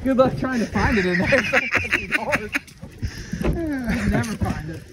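A man chatting casually on a cave and woods outing, relaxed and swearing now and then. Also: extremely high pitch, normal speed, heavy background noise. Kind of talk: casual chat.

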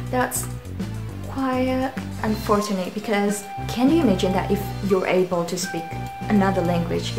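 A young woman from Indonesia, a native Indonesian speaker, talking to camera in a casual, rambling, self-deprecating way as a channel host in a small bedroom. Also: mid-range voice. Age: young adult. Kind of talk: casual vlog talk to camera. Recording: roomy echo with music behind.

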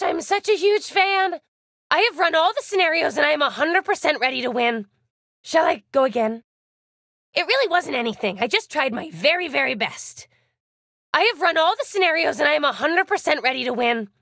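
Perky young american princess for a racing game, super high n swoopy, gushy, bit flustered. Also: female voice, fairly bright tone, no echo, studio quality. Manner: gushing, perky, slightly flustered. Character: bubbly, eager, nervously excitable young princess racer. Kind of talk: animated video game character voice lines.

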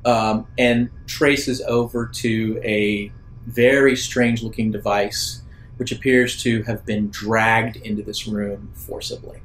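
A man narrating an improvised sci-fi tabletop roleplaying game as game master, describing scenes in a halting, deliberate way. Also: on the low side, level tone, slight background noise, rough recording.

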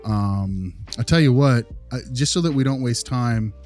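Mellow man, politely explaining. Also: medium pitch, animated, measured pace, faint music behind. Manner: polite, explanatory, hesitant phrasing. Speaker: behavior analyst, podcast host. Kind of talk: improvised role-play phone conversation.

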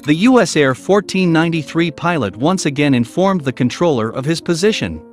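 A man's voice: on the high side, animated, brisk, soft background music. A man reading scripted documentary narration as a narrator.

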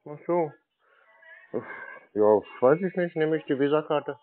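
Man, slightly high voice, casual, questioning, feigned cluelessness, phone-quality sound. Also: lively intonation, unhurried, slight background noise. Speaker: scam-baiting prank callee posing as customer. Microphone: phone call. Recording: muffled narrow-band call audio.

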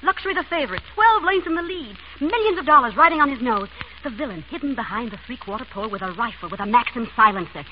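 An actress in a 1930s radio comedy-mystery playing a headstrong, blithely theatrical ex-wife who writes mysteries. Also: female voice, fairly high pitch, lively intonation, quick pace, hardly any pauses, lightly acted. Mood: excited. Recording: dull, noisy 1930s radio recording.

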